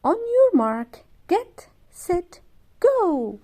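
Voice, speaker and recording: A woman's voice: very high voice, very animated, extremely slow, mellow, voice pushed up, full character voice. A woman voicing a jealous, stubborn little girl, an emphatic big sister in a children's storybook. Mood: excited.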